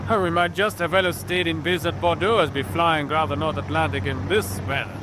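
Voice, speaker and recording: A man's voice: on the high side, steady tone, fairly quick, close to an everyday voice. An actor playing a wry, reluctant German airman who defers to his commander in a wartime radio drama. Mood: wry, uneasy. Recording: clear engine noise behind voice. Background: aircraft engine drone.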